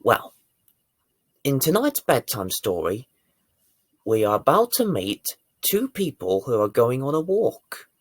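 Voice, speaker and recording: Male children's storyteller, very lively intonation, theatrical emphasis, dry close recording. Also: mid-range voice, breathy edge, no room sound. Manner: deliberate, theatrical emphasis with dramatic swells and hushes. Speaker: children's bedtime story presenter and reader. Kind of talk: expressive bedtime storytelling for young children.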